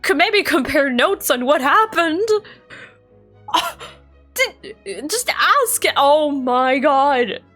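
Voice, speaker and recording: A young woman streamer reacting live to a game, thinking aloud, excitable, swearing and laughing. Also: high-pitched, slow, faint music behind.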